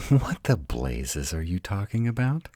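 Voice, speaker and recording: Man voicing a very deep, husky male were-dragon. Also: big pitch swings, a little mellow, no room sound, clean studio sound, heavily acted. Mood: agitated, incredulous. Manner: halting, dramatic, slow delivery with emphatic outbursts. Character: tsundere male were-dragon, gruff, protective, uptight cave dweller. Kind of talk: intimate roleplay audio drama.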